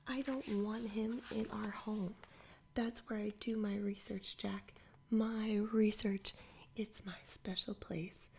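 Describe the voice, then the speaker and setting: A woman's voice: medium pitch, fairly even intonation, slow, gravelly, a little mellow, husky, heavily acted. Woman whispering as a fretful nerdy boy. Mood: upset. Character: nerdy anxious boy scientist.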